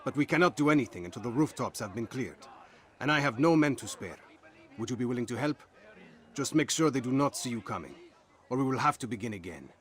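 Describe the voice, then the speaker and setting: An actor playing a frustrated, businesslike assassin field agent in a video game. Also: male voice, medium pitch, expressive, fairly quick, lightly acted. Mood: exasperated, businesslike. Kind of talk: video game cutscene acting.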